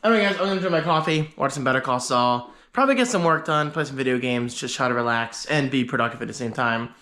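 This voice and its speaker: A man's voice: medium pitch, quick pace, sharp tone. A man talking casually to the camera in a home vlog, chatty and upbeat with rambling asides, sounding slightly congested. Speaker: vlogger. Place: at home, indoors.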